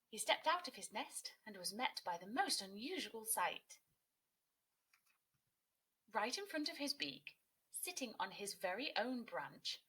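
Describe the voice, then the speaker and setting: A woman reads a children's story aloud like a teacher to a young class. Her pitch moves in lively swoops, each phrase set out expressively. The voice has a soft husky edge.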